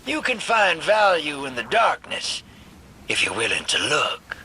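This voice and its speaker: Bright, wry streetwise rogue. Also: male voice, fairly high pitch, huge pitch swings, a little slow, a little hiss or hum, exaggerated voice, poor audio. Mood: wry encouragement. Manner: casual, teasing, folksy. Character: wry, streetwise male rogue, cynical but kindly. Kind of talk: video game cutscene acting.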